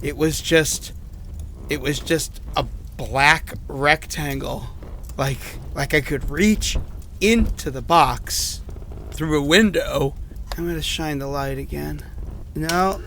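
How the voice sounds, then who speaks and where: A man acts out an anxious, haunted survivor in a horror audio drama, speaking into a recorded diary. His voice is high for a man and crackles with fry. He rambles and hesitates, running himself down as he goes, over a faint layer of noise. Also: slow pace, a bit bright. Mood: bewildered, hushed. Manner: rambling, hesitant, self-deprecating.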